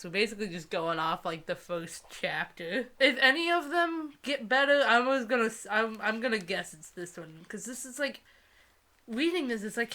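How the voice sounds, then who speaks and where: A woman's voice: medium pitch, pushed and bright. A young woman in casual comic banter as a co-host, giggly and teasing.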